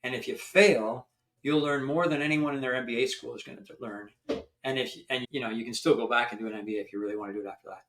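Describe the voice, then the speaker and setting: An older man from Canada, a company founder telling stories in a chatty, animated way during an interview. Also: mid-range voice, fast talker, rough recording. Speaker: founder and guest. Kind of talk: storytelling in an interview.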